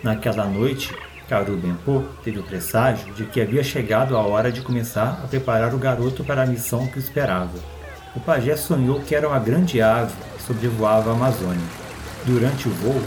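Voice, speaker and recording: A man's voice: mid-range voice, background noise. A man with a Brazilian accent reading a scripted story as its narrator.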